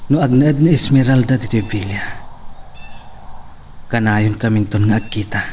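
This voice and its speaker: A man playing a worried, loyal young servant in a radio drama, with an anxious, hushed manner. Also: lowish voice, normal speed, a little hiss or hum, close to an everyday voice. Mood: troubled. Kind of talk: radio drama acting with inner monologue.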